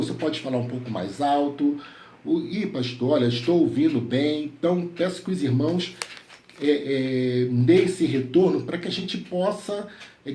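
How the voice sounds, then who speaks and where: A male pastor whose voice is a bit nasal from a cold speaks from home into a headset mic. His tone is dark and mellow, and he prays fervently with many repeated invocations before addressing his congregation. The room is echoey, with faint background noise. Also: medium pitch. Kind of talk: prayer and devotional address.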